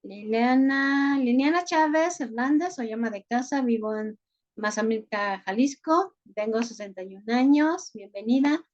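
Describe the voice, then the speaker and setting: A woman's voice: a little high, unhurried. A Mexican woman running an online class, chatty and full of filler words, reading out chat messages. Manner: chatty, frequent "este" fillers. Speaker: online course teacher. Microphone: video call. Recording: muffled lo-fi video-call audio.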